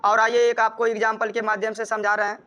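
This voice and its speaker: High-pitched Indian man teaching. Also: monotone, fast, sharp tone, smooth. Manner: repetitive, step-by-step explaining. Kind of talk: grammar lesson, explaining rules with examples.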